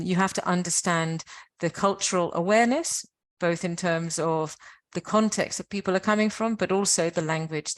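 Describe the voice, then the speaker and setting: A British woman giving a webinar slide presentation for a science park network, explaining at length with frequent pauses and restarts. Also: on the low side.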